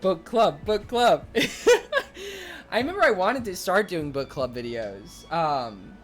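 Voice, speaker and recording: A young man streaming, chatting casually and excitably with viewers, rambling with lots of fillers. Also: on the high side, slow, faint music behind. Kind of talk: casual chat reading viewer comments.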